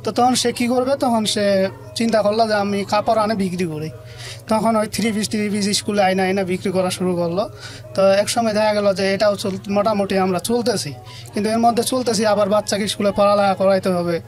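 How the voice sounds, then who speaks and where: Man, Bangladeshi accent, high voice, rapid pace, colloquial storytelling, earnest, interview guest. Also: steady tone, faint music behind. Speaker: guest telling his life story. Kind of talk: conversational personal storytelling.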